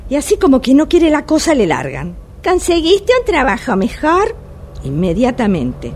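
An actress playing an exasperated, sarcastic blind street vendor in a radio drama, with a Rioplatense Argentine accent. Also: female voice, high voice, huge pitch swings, normal speed, husky, exaggerated voice. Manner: complaining, sarcastic, emphatic. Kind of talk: radio drama monologue as phone call. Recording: loud subway train noise throughout. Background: subway ambience.

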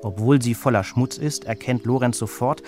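A man reading a children's story as its narrator, with an expressive storytelling delivery. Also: mid-range voice, normal speed, music in the background.

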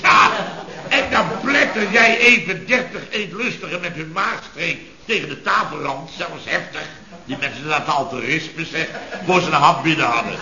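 Sarcastic, long-suffering male boss played by a man, 1970s radio comedy, grumbling rants, slightly high voice, dull echoey archive sound. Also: normal speed, a crowd in the background, close to an everyday voice. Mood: exasperated. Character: exasperated, sarcastic male boss of a contracting firm.

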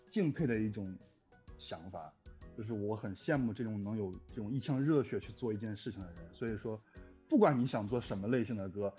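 A man's voice: mid-range voice, warm tone. A man talking casually and reflectively as a composer in an interview, earnest, with somewhat hesitant phrasing. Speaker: music composer for audio dramas. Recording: dull lo-fi audio with music behind. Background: music.

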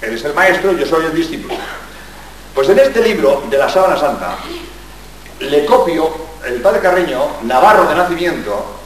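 An older man, a Jesuit priest from Spain, gives a lively public lecture full of jokes and rhetorical questions. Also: a little high, moderate pace. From Spain, born in Barcelona. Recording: very echoey hall, mic far off.

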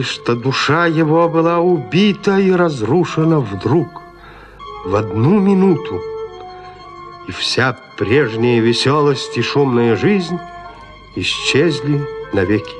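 A man narrating a literary story as the scripted narrator of an audio drama. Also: on the high side.

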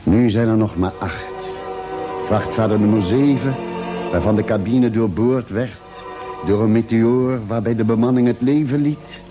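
An actor playing a grave, factual ship's doctor who narrates a space radio serial in measured, solemn, formal speech. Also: male voice, on the low side, dull audio, music in the background, close to an everyday voice. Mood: grave, suspenseful. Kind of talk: dramatic radio play narration.

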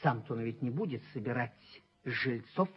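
A husky, aggrieved rent collector. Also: male voice, medium pitch, expressive, moderate pace. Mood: sly, bitter. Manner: pleading, emphatic, self-justifying. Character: aggrieved, servile, bitter sacked rent collector pleading his case. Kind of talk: theatrical radio play acting. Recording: muffled 1970s radio play recording.

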